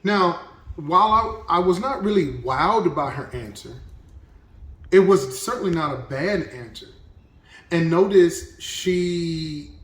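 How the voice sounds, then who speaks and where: A man's voice: fairly high pitch, roomy sound, far from the mic, low-quality recording. A man hosting a reaction video, talking casually and thinking aloud with restarts.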